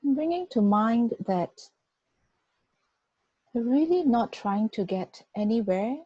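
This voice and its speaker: A woman guiding a body scan meditation, giving gentle, unhurried instructions with long pauses. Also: fairly high pitch, animated, husky, dry recording. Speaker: meditation guide. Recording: dull, muffled lo-fi recording.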